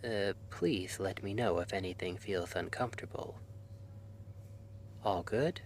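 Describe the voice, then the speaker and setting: A man playing a gentle, reassuring clinician at a dream institute in an ASMR roleplay, soft-spoken and soothing, often slipping into a whisper. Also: sits low, measured pace, a little hiss or hum. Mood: soothing, attentive.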